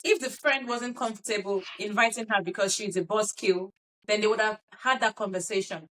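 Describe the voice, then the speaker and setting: A woman's voice: medium pitch, brisk, a bit bright, low-quality recording. A woman with a Nigerian accent, co-hosting a playful podcast debate and arguing the defense side with earnest, mock-formal pleading.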